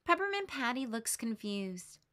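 A woman teacher reading a children's picture book aloud, expressive and lifting her voice for the characters' lines. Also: unhurried. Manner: expressive, lifts pitch for quoted lines.

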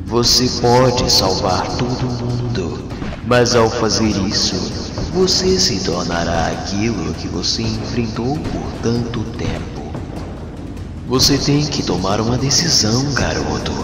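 Man voicing a slow, sinister alien schemer. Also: mid-range voice. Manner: theatrical, deliberate, sermonizing. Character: sinister philosophical alien schemer, calm, manipulative male. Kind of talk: dramatic motion comic dubbing. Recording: long hall reverb, loud music underneath.

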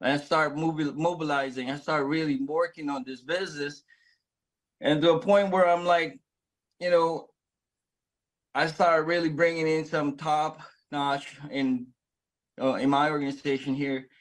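A man of about forty from the Philippines gives an earnest, excited personal testimonial straight to a phone camera, often restarting his sentences. He is recorded close up in a small indoor room. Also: a little high, a little slow, poor audio. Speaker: network marketing member sharing his story. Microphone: phone camera at close range.

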